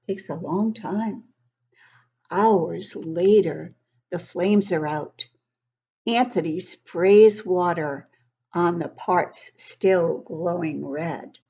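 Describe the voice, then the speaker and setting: A woman reading a picture book aloud to young children, expressively, pausing to explain things and ask the listeners questions. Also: fairly low pitch, dark tone, breathy edge, muffled recording. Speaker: children's book club host and reader.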